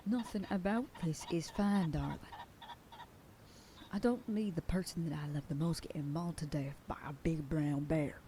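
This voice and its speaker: A stern, protective werewolf sheriff girlfriend, played by a woman, bossy and scolding. Her voice sits low and mellow. A faint hiss of noise lies under the recording. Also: moderate pace. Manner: scolding, bossy then whispering tenderly. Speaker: voice actor. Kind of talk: F4M ASMR roleplay.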